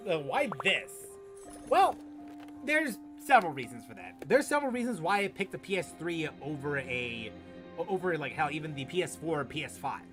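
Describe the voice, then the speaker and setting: A young man streaming games, chatting casually in a rambling, halting way and repeating phrases for emphasis. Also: on the high side, fairly bright tone. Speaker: gaming streamer. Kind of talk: casual, rambling gameplay chat. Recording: game music behind.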